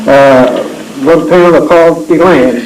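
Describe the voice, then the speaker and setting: A man's voice: on the high side, takes it slowly, darkish tone. A man, emceeing a veterans' event and introducing speakers casually with many fillers. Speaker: master of ceremonies. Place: reunion hall with echo. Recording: echoey hall amateur recording.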